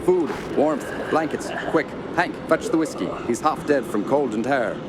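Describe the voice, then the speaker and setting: An actor playing a stern, rational, authoritative uncle with a formal, insistent manner in a radio horror drama. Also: male voice, medium pitch, expressive, normal speed, close to an everyday voice. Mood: urgent insistence. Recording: clear outdoor ambient noise behind.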